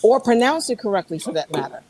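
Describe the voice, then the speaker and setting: A woman's voice: fairly high pitch, big pitch swings, fast talker, darkish tone. Emphatic, talkative woman podcast host. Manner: emphatic, repeats herself, restarts often. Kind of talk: casual chat.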